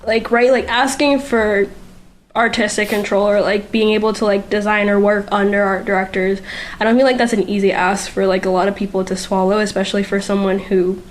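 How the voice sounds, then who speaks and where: A woman's voice: mid-range voice, flat delivery, fairly quick, rough recording. A young woman from Puerto Rico whose first language is Spanish, speaking with a Puerto Rican accent as a podcast guest in casual chat, filling her talk with "like". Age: young adult. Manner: chatty, lots of "like" fillers. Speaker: guest, art student.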